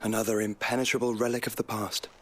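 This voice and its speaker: A man's voice: on the low side, little pitch movement, fast talker, close to an everyday voice. An actor with a British accent playing a thoughtful, scholarly young nobleman in a video game, formal and articulate.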